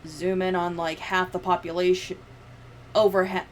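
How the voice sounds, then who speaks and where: A woman's voice: on the low side, level tone, moderate pace. A young woman chatting casually on a livestream in a sweary, sardonic manner. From United States. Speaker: livestreamer editing a video. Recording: clear background noise, room ambience.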